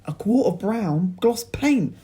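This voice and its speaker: A man's voice: high voice, expressive, takes it slowly, mellow, breathy edge, voice pushed up, heavily acted. A man voicing an eager, earnest young boy in a children's storybook, with keen, simple exclamations.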